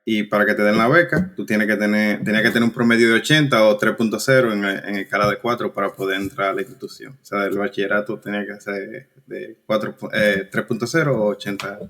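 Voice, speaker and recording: Laid-back Dominican man, lowish voice. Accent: Dominican Spanish. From Dominican Republic. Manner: relaxed, halting, frequent restarts and fillers. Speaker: guest engineer. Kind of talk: casual conversational interview.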